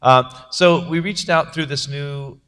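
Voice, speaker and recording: A man's voice: fairly high pitch, measured pace, a bit bright, clear, resonant tone, long reverb tail. A man, a filmmaker, talking at a panel after a film premiere in a jokey, rambling way with many fillers. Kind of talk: post-premiere panel talk.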